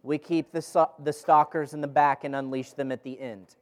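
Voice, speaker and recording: Higher-pitched man teaching the Bible informally. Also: steady tone, moderate pace. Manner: conversational and slangy, rhetorical questions, repeats phrases for emphasis. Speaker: Bible teacher leading a group study. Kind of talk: informal teaching sermon with scripture reading. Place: room with a group gathered.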